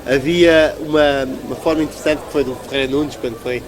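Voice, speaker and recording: High-voiced Portuguese man, crowd behind. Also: fairly even intonation, a little fast, low-quality recording. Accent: European Portuguese. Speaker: local politician being interviewed. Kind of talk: conversational political interview.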